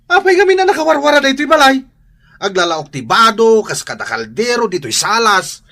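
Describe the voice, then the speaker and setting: An actor with an Ilocano accent playing a nagging, exasperated husband in a radio drama. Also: male voice, very high-pitched, very animated, a little fast, bright, forceful tone, heavily acted. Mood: complaining.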